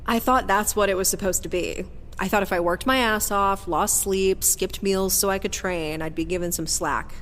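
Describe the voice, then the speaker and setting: An actress playing a defiant, guarded former agent weighed down by family legacy, earnest and bitter, in an audio drama. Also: female voice, medium pitch, normal speed, hardly any pauses, a bit bright, slight background noise, natural voice. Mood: bitter, burdened. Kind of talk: audio drama acting with first-person narration. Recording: long hall reverb, clear background ambience.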